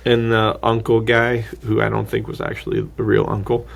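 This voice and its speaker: A man's voice: lowish voice, completely flat delivery, moderate pace, creaky. A man chatting casually and jokingly as a podcast host, recapping a film in slangy, loose language. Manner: slangy, jokey, acts out dialogue mockingly. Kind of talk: casual movie recap chat.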